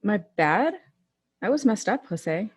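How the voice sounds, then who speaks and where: A woman's voice: medium pitch, very animated, slow, husky, heavily acted. A woman acting in an audio drama as a persistent young mother who wants her ex back, speaking insistently and intimately. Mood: hurt. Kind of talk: naturalistic scripted audio drama. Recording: music playing under the voice.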